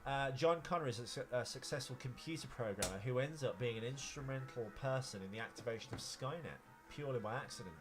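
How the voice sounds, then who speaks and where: A man's voice: medium pitch, fast, hardly any pauses, over music. A man co-hosting a podcast in casual film banter, rambling and jokey, often poking fun at himself. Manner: jokey, rambling, self-deprecating. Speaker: podcast co-host. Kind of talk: casual banter about films.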